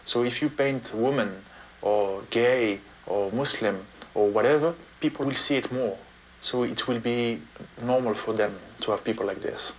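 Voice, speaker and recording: A French man with a French accent, giving unscripted interview answers in halting non-native English. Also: mid-range voice, slight background noise, poor audio. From France. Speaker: street artist, interviewee. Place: artist's studio in Paris suburbs.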